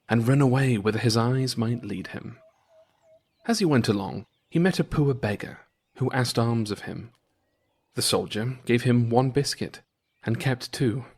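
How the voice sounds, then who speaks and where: A man narrating an old folktale in a measured, theatrical storytelling manner. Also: medium pitch. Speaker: guest narrator.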